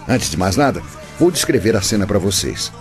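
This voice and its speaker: A Brazilian man narrates a radio drama in a lowish, very lively voice. He chats straight to the listeners as a scripted storyteller, with light music underneath. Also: a little fast. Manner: chatty, addresses listeners directly.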